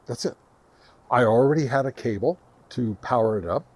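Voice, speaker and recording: A man's voice: medium pitch, normal speed, darkish tone. A man casually showing and explaining gear as host of a hobby review video, chatty and enthusiastic. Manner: chatty, enthusiastic about gadgets. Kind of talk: casual hands-on product demo and explanation. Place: home radio shack.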